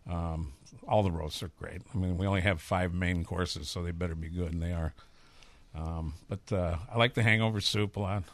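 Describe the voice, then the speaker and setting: Low-voiced Chicago-accented chef. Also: male voice, steady tone, normal speed. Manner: candid, rambling storyteller. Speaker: chef and restaurateur. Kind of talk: casual interview talk.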